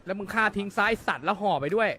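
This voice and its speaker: A man leading a gang in a game roleplay, shouting orders and swearing as he scolds the others. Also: sits high, animated, very fast. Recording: crowd of voices behind.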